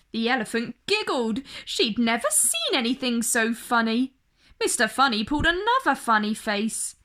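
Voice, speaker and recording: A woman's voice: fairly high pitch, a bit bright. A woman reading a picture book aloud for children as a storyteller, speaking directly to young listeners with dramatic emphasis. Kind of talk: expressive picture-book read-aloud for kids.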